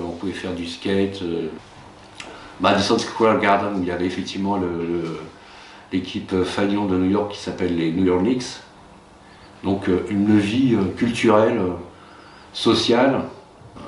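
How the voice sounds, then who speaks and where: A middle-aged man whose native language is Russian, a teacher recalling his life abroad in a hesitant, reflective way, with many pauses and fillers. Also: low-pitched, a little hiss or hum. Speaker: teacher being interviewed. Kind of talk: reflective personal recollection. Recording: roomy, distant mic with faint ambience.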